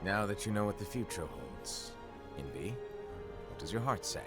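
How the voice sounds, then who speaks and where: A man's voice: on the low side, animated, moderate pace, natural voice. A man voicing a teasing, breezy mentor. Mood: stern. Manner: breezy, teasing, then coaxing. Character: playful teasing male mentor leader. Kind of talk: video game cutscene acting. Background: game score music.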